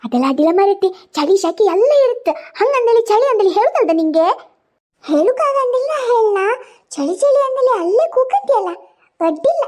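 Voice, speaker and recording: A performer voicing a chatty, fussing village daughter in a cartoon comedy, with a Kundapura Kannada accent and exclamatory, drawn-out questions. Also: extremely high pitch, sing-song, a little mellow. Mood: teasing, scolding. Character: chatty village daughter phoning her mother, fussing and scolding.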